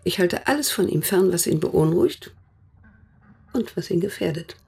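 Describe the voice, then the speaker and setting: A stern, protective sister in a radio drama. Her voice is low and very husky, with a gravelly edge, and she speaks in blunt, formal, commanding phrases. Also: expressive, moderate pace, warm tone, exaggerated voice. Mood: stern, insistent. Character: stern, protective older sister of a famous artist. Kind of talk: German radio drama acting.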